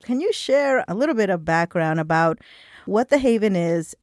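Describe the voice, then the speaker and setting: A woman hosting and producing a radio interview show, reading lively scripted introductions. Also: medium pitch, moderate pace, dry recording, studio quality. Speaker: radio host.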